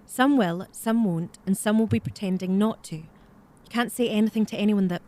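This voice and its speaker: An actress in an audio drama playing a discreet, composed secretary who speaks in a measured, practical, cautioning way. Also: female voice, medium pitch, a little mellow, close to an everyday voice. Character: Day Day, discreet, composed female secretary and Resistance helper.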